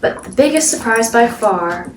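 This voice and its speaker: A woman's voice: a little high, animated, normal speed, roomy sound, distant mic, slight background noise, rough recording. A woman storyteller hosting a read-aloud show, reading a picture book expressively for children.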